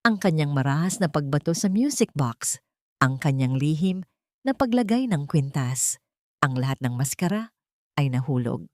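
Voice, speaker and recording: Female narrator for dramatic audio fiction, slightly low register, dry studio-like sound with little room. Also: lively intonation, moderate pace, dry recording. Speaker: storyteller. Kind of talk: dramatic scripted story narration.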